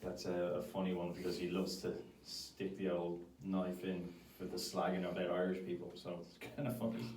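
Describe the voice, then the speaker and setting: Guy with a soft dark voice joking dryly in casual chat, echoey, bit far from mic. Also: low voice, little pitch movement, moderate pace, few pauses. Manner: wry, teasing. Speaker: graphic designer, guest. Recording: roomy, distant mic, faint background noise.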